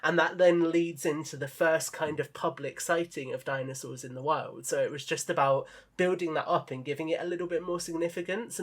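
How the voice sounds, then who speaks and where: A man talking casually and in detail as a podcast guest, modest about himself and keen on his creative work. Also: on the high side. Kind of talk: casual enthusiastic podcast chat.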